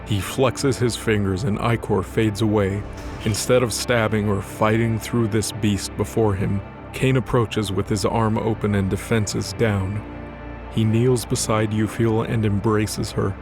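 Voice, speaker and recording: A man with a somewhat deep voice narrates scripted fiction. He serves as storyteller for a dramatic audio production, with music playing clearly behind him. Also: flat delivery, unhurried.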